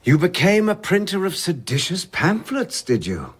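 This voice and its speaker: An inquisitive man in a radio drama. His husky voice is animated in pitch, with a wry, probing manner. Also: normal speed, full character voice. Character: inquisitive, probing man, teasing listener and questioner.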